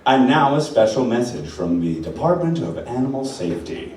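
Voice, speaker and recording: A man's voice: mid-range voice, very animated, moderate pace, loud music behind the voice. A man giving scripted narration for a radio play on a live stage. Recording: very echoey hall, distant, faint noise.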